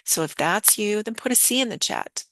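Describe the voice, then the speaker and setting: A woman with a slightly hoarse voice, calmly explaining ideas and speaking straight to her viewers in a live online lesson. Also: mid-range voice, moderate pace, no echo, poor audio. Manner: calm, explanatory, addresses viewers directly. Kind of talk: teaching a live online session.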